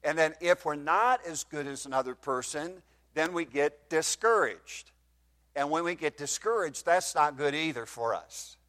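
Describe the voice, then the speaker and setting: A man's voice: on the high side, lively intonation, measured pace, a bit bright. A man in his fifties preaching a sermon in a church, reading scripture in old-style wording and putting questions to the congregation. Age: 50s. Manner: reads scripture in King James wording. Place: church hall, slightly echoey. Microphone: microphone.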